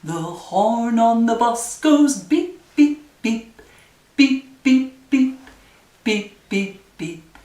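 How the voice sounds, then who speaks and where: A woman with a fairly high voice leads a children's rhyme session at a very slow pace. She half sings, half talks, with simple instructions and encouragement for little ones. The sound is echoey and a bit distant from the microphone. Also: animated, warm tone. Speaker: library story time leader. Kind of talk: children's nursery rhyme singalong.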